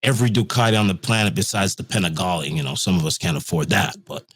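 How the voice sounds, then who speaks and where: A man's voice: low-pitched, lively intonation, quick pace, breathy edge. A man from England, a guest on a casual podcast, talking in a loose, rambling way with restarts.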